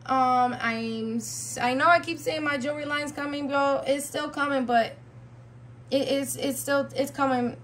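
Blunt, higher-pitched American woman vlogger, noisy recording. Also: fairly even intonation, unhurried, fairly bright tone. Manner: blunt, slangy, emphatic with repetition. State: voice worn out, losing it. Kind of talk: casual chat to viewers, product review.

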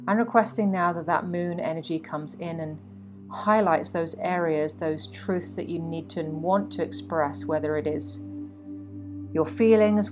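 Woman, meditation guide, slightly low pitch, soothing instructions, frequent pauses, music clearly behind the voice. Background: meditation music with singing bowls.

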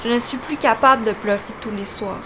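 A woman's voice: on the high side, a little fast, noisy background, close to an everyday voice. A woman with a Quebec accent playing a lovesick teenage girl, reciting her poetry as a solemn, melodramatic voice-over. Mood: despairing. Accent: Quebec French.

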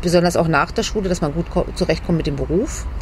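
A woman's voice: fairly low pitch, quick pace, a little hiss or hum. Earnest middle-aged woman, school social worker. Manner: earnest, reflective, searching for words. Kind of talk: interview answers. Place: outdoors by a school garden. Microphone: handheld mic held by interviewer.

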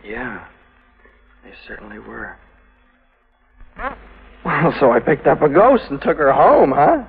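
A man's voice: medium pitch, huge pitch swings, slow pace, heavily acted. Puzzled young guy from an old radio play, voice swoops around, thin muffled sound. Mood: wry. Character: bewildered young man haunted by a ghostly girl. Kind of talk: 1950s radio drama acting. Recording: muffled, band-limited old radio recording.

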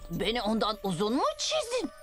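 A woman playing a bossy, sharp-tongued young girl in a dubbed cartoon, sassy and scolding. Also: fairly high pitch, wildly swooping pitch, moderate pace, loud music behind the voice.

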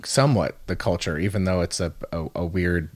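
Young man, musician guest, low voice, dry close sound, earnest, halting. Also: no room sound. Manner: earnest, halting, many restarts. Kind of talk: casual interview chat.

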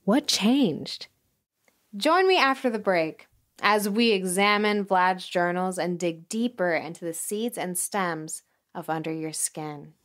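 A woman playing an earnest music documentary podcast host, delivering measured, dramatic scripted narration in an audio drama. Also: lowish voice, fairly bright tone, no echo. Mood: earnest, intrigued.

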